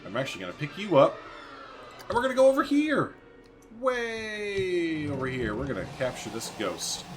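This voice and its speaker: A man's voice: on the high side, wildly swooping pitch, normal speed, faint music behind. A man hosting a let's-play video, chatting informally and thinking aloud as he plays. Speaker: gaming video host. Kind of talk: casual let's-play commentary. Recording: faint background noise and game ambience.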